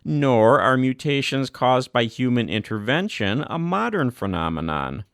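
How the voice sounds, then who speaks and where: A man's voice: mid-range voice, very animated, a little slow, dry recording, studio quality. Man reading formally with swooping, varied intonation. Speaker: audiobook narrator. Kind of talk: scripted narration, reading a formal document aloud.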